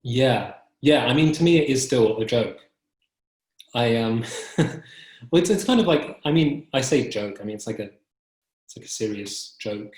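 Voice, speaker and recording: A young man speaking as an interviewed poet, reflective and halting, often correcting himself, over a video call. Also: mid-range voice, animated. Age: young adult. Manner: hesitant, halting, self-correcting. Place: book-lined room at home, indoors. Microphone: computer mic on video call. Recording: roomy, echoing video call.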